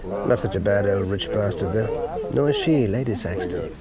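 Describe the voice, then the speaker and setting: An actor playing a sardonic visiting lover in a radio drama, with dry, mocking asides. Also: male voice, lowish voice, animated, moderate pace, a loud crowd, close to an everyday voice. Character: sardonic visiting lover, wry about the rich. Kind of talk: radio drama acting with inner monologue.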